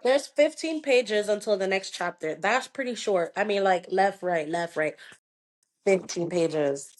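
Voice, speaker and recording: A woman's voice: mid-range voice, a little slow. A woman talking casually as a podcast co-host, slangy and emphatic, often repeating her phrases. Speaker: book club podcast co-host. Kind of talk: casual chat.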